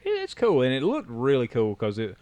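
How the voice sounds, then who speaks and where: Man, podcast co-host, rambling casual chatter, lively pitch, close dry mic. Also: a little fast, dry recording. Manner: rambling, enthusiastic, many fillers. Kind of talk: casual chat.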